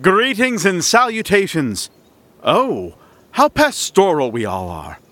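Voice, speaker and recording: A man's voice: high voice, wildly swooping pitch, takes it slowly, a bit bright, no echo, heavily acted. An actor playing a vain, condescending celebrity witch hunter in a comic audio drama, with a pompous, grand manner. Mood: grandly self-important. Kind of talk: audio theater comedy acting.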